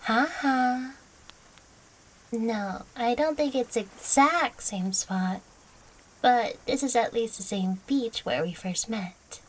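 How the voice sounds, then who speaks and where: Audio roleplay: affectionate young catgirl girlfriend played by a woman, coy and playful, slow delivery, soft husky breathiness. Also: fairly high pitch, rough recording. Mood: excited, affectionate. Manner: teasing, coy. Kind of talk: audio roleplay spoken to the listener.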